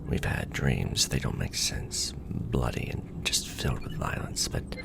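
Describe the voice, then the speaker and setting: Weary young guy bonded to an alien male actor: very deep, animated, half-whispered with fry, casual and wry. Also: measured pace, full character voice. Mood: troubled. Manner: casual, wry, hesitant in explanations. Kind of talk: ASMR roleplay drama.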